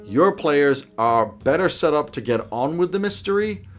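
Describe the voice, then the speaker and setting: A man's voice: on the high side, animated, moderate pace, faint music behind. A man in his thirties presenting semi-scripted game master advice to camera in a wry, emphatic, irreverent way. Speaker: host giving game master tips. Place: small indoor home office.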